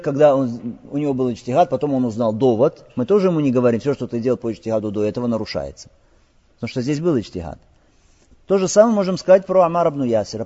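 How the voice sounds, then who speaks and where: A man's voice: mid-range voice, mellow, breathy edge. A man teaching religious law in a lecture, explaining texts and asking his listeners rhetorical check-in questions, with passages recited in Arabic. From Crimea. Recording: dull lo-fi audio with long hall reverb.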